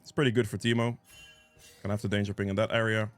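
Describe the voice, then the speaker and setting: A man's voice: on the low side, flat delivery, quick pace, faint music behind. A man giving casual live commentary on a video game as he plays, thinking aloud and reacting as he goes, sniffly with a cold. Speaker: gameplay guide creator and player.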